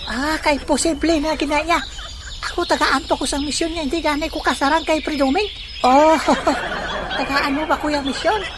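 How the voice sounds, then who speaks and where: Lady acting excitable old man, really high voice, confused and chuckly, comic radio play, noisy with birds behind. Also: normal speed, lightly acted. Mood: baffled, eager. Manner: exclaiming, questioning, chuckling. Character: Albino, excitable, baffled old man on a quest. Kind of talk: comic audio drama acting.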